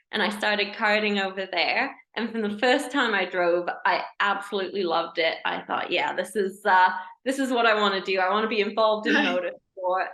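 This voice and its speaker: A young woman with a Canadian accent that carries a British edge, her voice bright and crisp. She answers questions in a friendly, chatty way, pausing on small "uh"s as she goes. The recording has a noticeably echoey room around her. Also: mid-range voice. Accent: Canadian with a British tinge. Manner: friendly, chatty, with frequent "uh" restarts. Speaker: guest, racing driver turned engineer. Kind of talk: casual interview answers.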